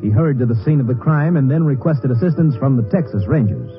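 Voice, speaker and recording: An actor playing a sober narrator of a police case, in scripted 1950s radio style. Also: male voice, mid-range voice, animated, quick pace, natural voice. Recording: dull old radio, music behind.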